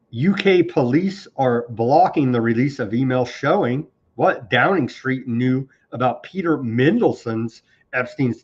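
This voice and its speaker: A man's voice: mid-range voice, slightly rough, dull audio. A man hosting a live online news commentary show, sarcastic and scornful as he rants through the headlines. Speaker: political commentary host.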